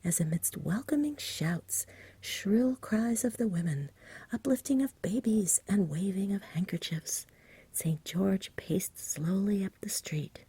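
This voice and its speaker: A woman softly reading a storybook in a hushed, breathy ASMR whisper with a gentle delivery. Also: mid-range voice, lively intonation, a little slow, dry recording. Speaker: reader, ASMR host.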